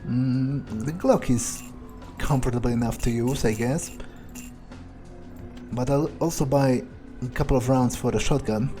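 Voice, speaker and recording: Slow-talking man musing in character. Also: medium pitch, warm tone, soft background music. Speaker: let's player role-playing a vampire character. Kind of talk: in-character gameplay commentary, thinking aloud.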